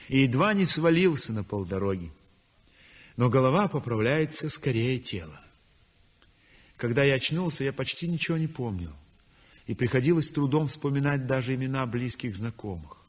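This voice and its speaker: An actor playing a weak, convalescent young male painter who narrates his story in a 1960s radio drama, speaking softly and breathily. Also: medium pitch, natural voice. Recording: dull old radio recording with long reverb.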